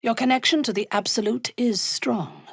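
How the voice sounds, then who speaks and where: A woman playing an imperious, ruthless dark elf warrior in a video game, haughty and commanding, with a husky, breathy delivery. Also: fairly high pitch, no room sound, studio quality, exaggerated voice. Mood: stern, intense. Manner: haughty, commanding, measured, deliberate.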